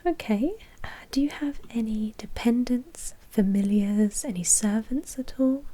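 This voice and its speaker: A breathy, whispering female vampire who manages a bank, acted out by a woman for ASMR roleplay. Her voice is fairly high and soft-edged. She talks in a chatty, brisk way with a polite, officious streak. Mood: polite, businesslike.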